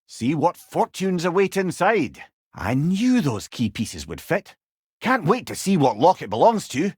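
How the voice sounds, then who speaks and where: An actor playing a wise, wry old companion in a video game, speaking with a Scottish accent in a folksy, eloquent way. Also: male voice, on the high side, very animated, a little slow, husky, no room sound, studio quality, full character voice. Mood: advising. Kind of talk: video game character dialogue.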